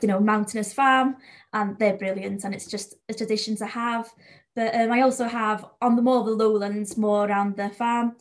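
A woman of twenty with a fairly high voice and a North Welsh accent. Welsh is her first language. She talks casually and conversationally, as a guest in an interview. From North Wales. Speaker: podcast guest, young sheep farmer and sheepdog handler.

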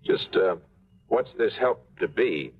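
An actor playing a dry, wisecracking adventurer in a 1950s radio drama, tinny old broadcast. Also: male voice, on the low side, expressive, slow, faint music behind. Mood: wry. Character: wisecracking adult male tour guide adventurer. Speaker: narrator and lead character.

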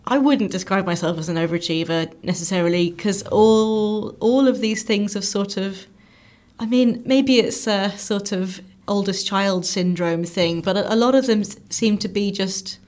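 A woman's voice: mid-range voice, normal speed, a little mellow. A woman in her late twenties chatting casually as an interview guest, talking about herself in a rambling, self-deprecating way. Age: late 20s. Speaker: interview guest, musical comedian. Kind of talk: casual chat.

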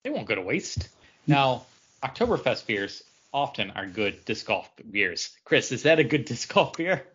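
A man with a medium-pitched voice talks casually as one of a podcast's hosts. He sounds conversational and informal. The audio is slightly dull. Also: big pitch swings, a little slow, a bit bright, slightly rough. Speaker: podcast co-host. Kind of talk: casual chat.

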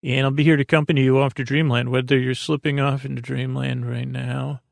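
A man hosting a bedtime podcast in a gravelly voice, rambling hesitantly and repetitively in a monologue meant to lull listeners to sleep. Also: mid-range voice, little pitch movement, brisk, dry recording, clean studio sound. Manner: meandering, hesitant, repetitive, with murmured asides. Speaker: podcast host.

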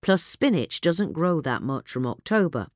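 A woman narrates a scripted gardening piece with plenty of rise and fall in her pitch. The sound is dry and close, with very little room around her. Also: big pitch swings, normal speed, no echo. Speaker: article reader.

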